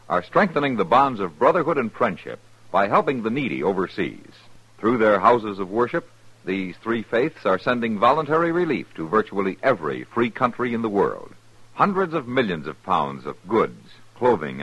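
A man's voice: lowish voice, sharp tone, breathy edge, dry recording, rough recording. An American man announcing formally in a declamatory 1950s radio broadcast style.

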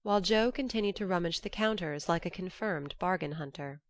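A woman's voice: on the low side, a little fast, dry recording, clean studio sound. A woman reading scripted literary narration as an audiobook narrator.

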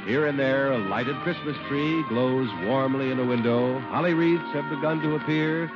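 A man's voice: medium pitch, normal speed. An American man announcing a 1950s radio show, reading scripted sponsor copy and narration with polished emphasis. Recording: muffled old radio broadcast. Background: music.

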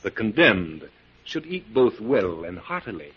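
An actor playing an imperious, murderous mad professor in a theatrical, commanding 1940s radio style. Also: male voice, on the low side, very animated, unhurried, loud music behind the voice. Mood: gloating. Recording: muffled, noisy 1940s radio recording.

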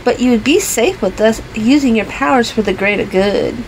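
A man voicing a calm, caring young superhero woman who speaks softly. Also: very high-pitched, normal speed, slight background noise, voice pushed up, poor audio. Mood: gentle. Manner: soft, gentle.

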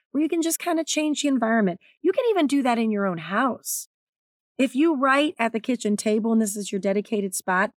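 A woman with a naturally raspy, slightly strained voice, hosting her own podcast in a candid, chatty way. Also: mid-range voice, no echo, studio quality. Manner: candid, chatty, self-deprecating. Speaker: podcast host and author. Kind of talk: solo podcast talk.

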